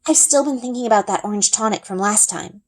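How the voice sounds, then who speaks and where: A woman voicing an eager, excitable young girl, a loyal best friend in a storybook. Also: medium pitch, brisk, pitched above the performer's own voice, natural voice. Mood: excited.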